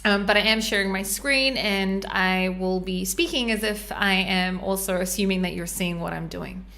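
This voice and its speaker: Bright-voiced New Zealand woman explaining. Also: mid-range voice, moderate pace, vocal fry. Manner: chatty, step-by-step explaining with frequent ums and uhs. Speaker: podcast host. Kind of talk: live screen-share tutorial.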